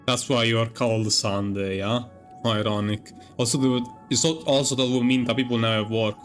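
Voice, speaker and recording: A male streamer reacts casually to a game's story in a medium-pitched voice. He is thoughtful and halting, searching for words as he goes. Faint music sits underneath. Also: fairly even intonation, a bit bright. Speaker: game streamer. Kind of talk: casual commentary over a game story.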